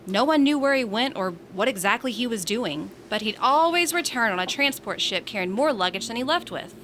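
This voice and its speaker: A woman's voice: on the high side, lively intonation, a little fast, fairly bright tone, slight background noise. A woman playing a teasing, feisty space station resident in a science fiction audio drama, full of sassy banter. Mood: chatty, teasing.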